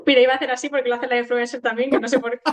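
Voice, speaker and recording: A woman from Granada in her thirties with an Andalusian accent, interviewing a guest in a relaxed, chatty way and laughing easily. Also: high voice, fast talker. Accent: Andalusian Spanish. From Granada, Spain. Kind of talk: casual interview chat.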